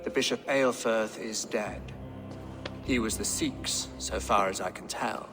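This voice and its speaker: An actor playing a shrewd, pious Saxon king who speaks formally and with measured eloquence in a video game. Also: on the low side, over music. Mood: measured, guarded. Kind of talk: dramatic video game dialogue.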